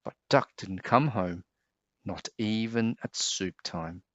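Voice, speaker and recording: A man reading a children's storybook aloud in an expressive, dramatic way. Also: on the low side, slow pace, no room sound, muffled recording.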